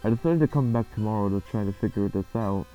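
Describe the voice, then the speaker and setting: A man's voice: on the low side, fast talker. A man narrating a creepy horror story in a dramatic reading style. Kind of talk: dramatic reading of a creepypasta, with casual reaction after. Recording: muffled, lo-fi, loud music behind. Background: eerie game music.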